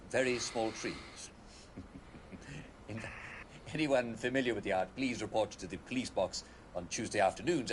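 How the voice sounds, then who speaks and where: Man playing a giddy British broadcast host, swooping pitch. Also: a bit bright, a little hiss or hum, close to an everyday voice. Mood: chirpy, rambling. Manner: chuckling, hesitant, theatrically jolly, stammering. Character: giddy, chirpy male broadcast host with forced cheer. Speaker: broadcast presenter. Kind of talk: retro British broadcast announcer acting.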